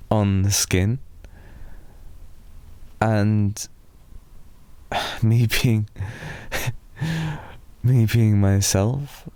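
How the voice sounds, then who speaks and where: A British man softly rambling in an improvised ASMR boyfriend roleplay, telling a drowsy, meandering story to lull a listener to sleep. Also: lowish voice, smooth, no echo. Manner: soft, slow, drowsy storytelling.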